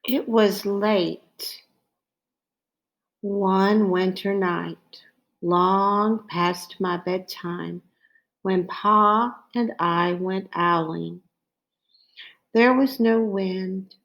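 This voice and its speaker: A woman reads a picture book aloud for children. Her voice sits at a medium pitch, and she takes the story very slowly and deliberately, line by line. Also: fairly even intonation, gravelly, a bit bright.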